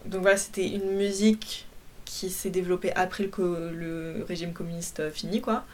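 A woman's voice: on the low side, fairly even intonation, normal speed, slight background noise. A woman in her twenties chatting casually, with many fillers and restarts and frequent laughs. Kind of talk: casual chat.

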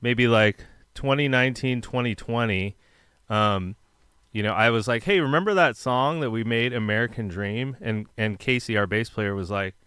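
A man's voice: mid-range voice, normal speed, fairly bright tone, clean tone, no room sound, clean studio sound. A man, a punk musician, chatting casually and asking questions in a laid-back, filler-heavy way. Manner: laid-back, lots of fillers, frequent yeahs. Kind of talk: casual podcast chat.

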